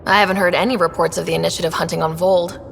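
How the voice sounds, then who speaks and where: A woman's voice: on the low side, little pitch movement, very fast, gravelly, a bit bright. A woman playing a confident, supportive young space explorer in a video game, direct and inquisitive. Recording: game ambience with vehicle noise.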